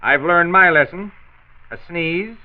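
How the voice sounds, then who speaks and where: An actor playing an earnest factory manager in a 1940s radio drama. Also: male voice, sits high, measured pace. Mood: relieved, resolute. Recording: muffled, band-limited 1940s radio recording.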